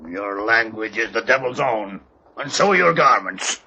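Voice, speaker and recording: An actor playing a stern, threatening manservant from the early 1800s, gruff and commanding with archaic speech, in a radio drama. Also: male voice, fairly low pitch, lively intonation, normal speed, crowd noise. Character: stern armed manservant from 1804, loyal and threatening. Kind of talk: old-time radio drama acting. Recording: dull old radio recording.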